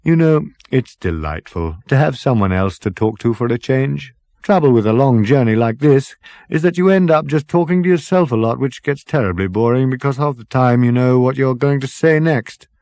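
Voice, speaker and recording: Man acting a genial, dithering captain, swooping pitch. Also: lively intonation, moderate pace, a little mellow, no echo, natural voice. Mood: rambling, cheerful. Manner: rambling, hesitant, chummy, polite upper-class politeness. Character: genial, vague, dithering male ship's captain, cheerfully unbothered. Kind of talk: comic science fiction audiobook character.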